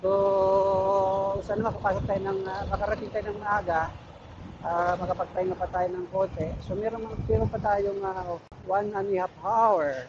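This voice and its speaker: A man with a Filipino accent chatting casually and haltingly as a motorcycle vlogger, recorded on a wireless mic while riding. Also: high voice, little pitch movement. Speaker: motorcycle vlogger and ride-hailing rider. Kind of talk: casual motovlog chat. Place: outdoors on a motorcycle on city roads. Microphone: wireless Bluetooth mic while riding. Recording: dull wireless mic, loud traffic noise. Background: loud vehicle and road noise.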